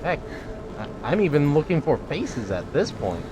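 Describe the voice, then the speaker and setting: Lively male gamer thinking aloud. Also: on the high side, normal speed, heavy background noise. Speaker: gaming YouTuber. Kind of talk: casual let's play commentary.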